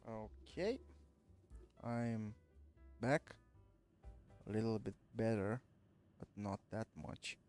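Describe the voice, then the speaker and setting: A man with a stuffed-up, nasal voice from a cold chats slowly and casually while streaming a driving game. He thinks aloud, trails off and fills gaps with "uh", in a fairly low voice, with quiet music under him. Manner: rambling, thinking aloud with frequent fillers. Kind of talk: casual live-stream chat while gaming.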